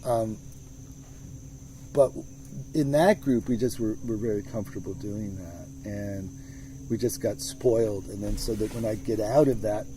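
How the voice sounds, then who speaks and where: A man's voice: on the low side, a little slow, dark tone, poor audio. A man being interviewed about his music, talking in a thoughtful, rambling way with many restarts, recorded outdoors in the countryside. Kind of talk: relaxed conversational interview. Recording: faint outdoor noise with crickets.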